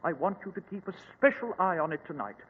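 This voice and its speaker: An earnest, officious museum director in a vintage radio play. He has a high voice and formal, emphatic phrasing, heard in a hollow, tinny old recording. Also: animated, quick pace, breathy edge, in a large hall. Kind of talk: old-time radio drama acting.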